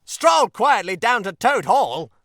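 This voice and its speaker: A man voicing an earnest, excitable water rat in a storybook, speaking in an emphatic and deliberate manner. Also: on the low side, dry recording, studio quality. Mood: agitated. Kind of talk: audiobook character acting.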